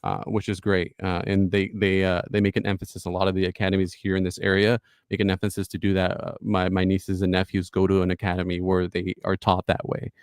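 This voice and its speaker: A man's voice: deep voice, completely flat delivery, fast, hardly any pauses, smooth, no echo, studio quality. A man hosting a casual livestream chat, often restarting words and offering short agreements. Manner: hesitant, repeats words, warm affirmations. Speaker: podcast host.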